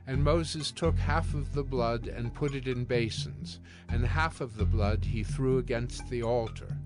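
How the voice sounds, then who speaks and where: A man narrating scripture from a script in a solemn, measured storytelling manner. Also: mid-range voice. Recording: prominent music bed behind narration.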